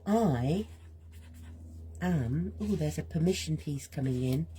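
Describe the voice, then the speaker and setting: A woman with a gentle, husky, slightly strained voice, musing aloud slowly with pauses as she hosts a reflective creative session while drawing. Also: sits low, lively intonation, a little hiss or hum. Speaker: host of a creative healing art session.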